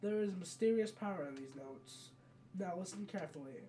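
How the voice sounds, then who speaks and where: A gaming video maker reading out a solemn female royal guardian from a fantasy game in a measured way. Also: mid-range voice, lively intonation, warm tone, recorded from a distance, slight background noise, close to an everyday voice. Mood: solemn, instructive.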